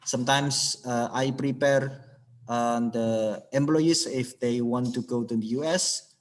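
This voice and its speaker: Halting man, slow delivery. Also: mid-range voice, fairly even intonation, low-quality recording. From Indonesia. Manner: halting, searching for words. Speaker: alumni guest speaker, English trainer. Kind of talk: webinar presentation over slides.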